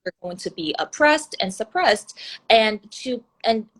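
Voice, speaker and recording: A woman's voice: a little high, expressive, a little slow, a bit bright, poor audio. A young woman whose first language is Mandarin Chinese, answering interview questions about her writing in an earnest way, with frequent fillers and restarts. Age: young adult. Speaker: author being interviewed. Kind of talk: conversational interview.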